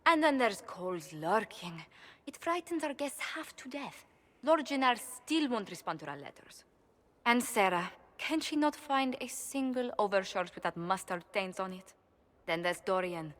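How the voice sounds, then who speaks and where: Game ambassador female actor: courteous, formal, mildly exasperated diplomat with animated intonation and long room reverb. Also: medium pitch, lightly acted. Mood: exasperated gossip. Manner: formal, polite, measured phrasing. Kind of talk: video game character dialogue.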